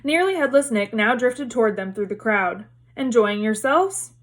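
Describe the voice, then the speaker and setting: A woman reading a story aloud as narrator, in expressive storybook narration. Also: on the high side, normal speed.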